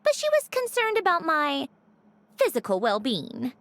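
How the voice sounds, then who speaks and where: High, melodic, polite young adventurer woman. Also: wildly swooping pitch, normal speed, a bit bright, dry recording, exaggerated voice. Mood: courteous. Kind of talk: video game voice acting.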